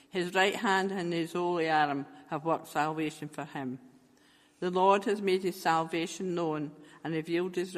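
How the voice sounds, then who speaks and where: A man reading scripture aloud in a measured, careful way. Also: on the high side, big-room sound. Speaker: scripture reader.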